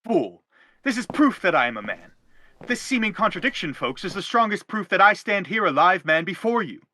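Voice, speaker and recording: A man's voice: high-pitched, sing-song, normal speed, sharp tone, dry recording, clean studio sound, exaggerated voice. An actor playing an anguished middle-aged father in a stage drama, pompous, wordy and insistent as he justifies himself. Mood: indignant, exasperated. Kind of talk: theatrical stage drama acting.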